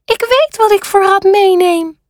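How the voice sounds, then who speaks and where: A woman's voice: very high voice, very animated, measured pace, no room sound, studio quality, pitched above the performer's own voice, exaggerated voice. A woman voicing a kind, curious young male panda in a children's story, speaking earnestly. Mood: excited.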